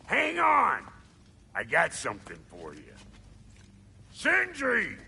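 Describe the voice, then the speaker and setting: An actor playing a gruff, sarcastic dwarf blacksmith in a video game. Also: male voice, mid-range voice, huge pitch swings, moderate pace, sharp tone, long reverb tail, a little hiss or hum, heavily acted. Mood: gruff, brusque. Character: gruff, brash blacksmith dwarf, grown man. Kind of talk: video game character acting.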